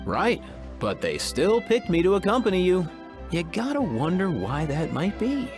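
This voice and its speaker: A woman's voice: on the low side, wildly swooping pitch, normal speed. An actress playing a casual, wry, easily exasperated young woman who is the responsible older companion in a video game. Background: background music.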